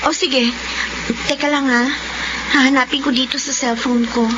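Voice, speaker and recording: A woman's voice: high voice, steady tone, moderate pace, lightly acted. A Filipino woman playing an earnest young daughter desperate to help her father, in a radio drama. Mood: hopeful, puzzled. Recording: muffled radio drama, very noisy background.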